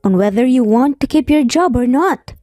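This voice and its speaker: A woman's voice: high-pitched, lively intonation, normal speed, mellow, no echo, studio quality, soft background music. A woman voicing a stern, grumpy male boss who speaks in a curt, cold, firm manner in a narrated story.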